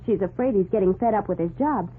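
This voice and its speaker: A woman's voice: mid-range voice, fast, natural voice. An actress playing a perky, eager young woman assistant with a chatty manner, in 1940s radio drama style. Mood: chatty, confiding. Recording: noisy, phone-like 1940s radio recording.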